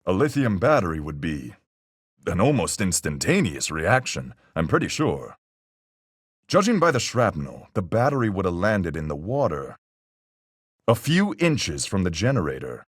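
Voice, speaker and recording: A gruff, hearty sea captain from a mystery video game, played by a man, speaks in a very low register with wide swings in pitch. He is level-headed and folksy, peppering his measured deductions with seafaring slang. The voice is clean and smooth. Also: wildly swooping pitch, dry recording, clean studio sound, heavily acted. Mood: analytical, measured. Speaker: voice actor in a fan-made mystery game. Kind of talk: video game character voice lines.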